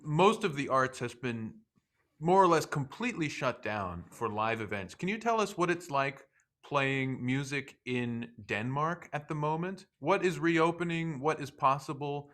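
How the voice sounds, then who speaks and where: Bright-voiced hesitant male host. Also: mid-range voice. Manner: hesitant, many restarts, polite. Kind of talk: video-call interview. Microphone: video call.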